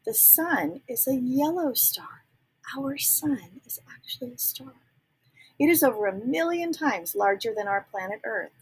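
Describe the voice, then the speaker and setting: A woman reading a children's picture book aloud, pausing to ask young listeners gentle teacherly questions and dropping into soft whispers. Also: sits high, animated, breathy edge, low-quality recording. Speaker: read-aloud storyteller.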